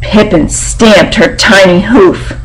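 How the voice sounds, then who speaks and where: A woman narrates a children's storybook aloud. Her voice sits at a medium pitch, and she moves slowly through the sentences with measured expression. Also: rough recording.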